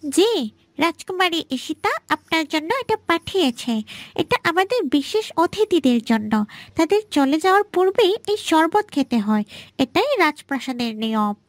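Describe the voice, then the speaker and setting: Cartoon maidservant played by a woman: tiny, very high voice, lively swoops, courteous and coaxing, music behind. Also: moderate pace, no echo. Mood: polite, coaxing. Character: young palace maidservant, obedient and coaxing. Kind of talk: animated fairy-tale cartoon acting.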